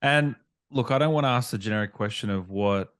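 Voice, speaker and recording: A man's voice: fairly low pitch, a little fast, a bit bright, smooth. A man interviewing a guest in a casual, conversational style as the host.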